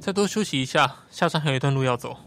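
A man acting as a calm, cautious guide in an audio drama, explaining things in a matter-of-fact way. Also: mid-range voice, fast talker, noisy background, natural voice. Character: calm, cautious male guide to a hidden tribe's land. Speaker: voice actor.

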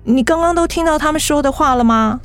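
A woman's voice: high voice, fast talker, soft background music, natural voice. An actress playing an earnest, wronged career woman in a radio drama, with a wounded delivery. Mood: hurt, bewildered.

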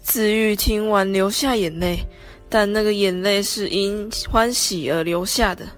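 A woman's voice: mid-range voice, measured pace. A woman with a Taiwanese Mandarin accent reading scripted narration for an amateur radio drama. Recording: background music under the voice.

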